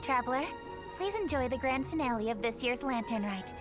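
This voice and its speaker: A woman's voice: sits high, expressive, a little fast. A woman voicing a poised young heroine in a video game cutscene. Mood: warm. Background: loud game music.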